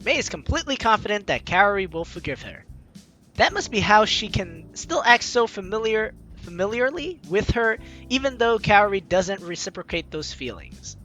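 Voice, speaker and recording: Playthrough host: bright, forceful voice, very lively pitch, expressive reading mixed with off-the-cuff reactions, faint music behind. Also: moderate pace. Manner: exclaims and voices dialogue expressively. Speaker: gaming video host reading and reacting to a visual novel. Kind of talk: playthrough narration with animated ad-libbed reactions.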